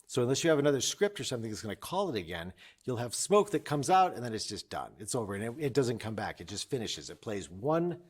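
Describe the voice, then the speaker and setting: A man instructing in a casual screen-share tutorial, thinking aloud and explaining informally, often restating points to make them clear. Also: medium pitch, big pitch swings, fast. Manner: informal, explanatory, repeats himself to clarify.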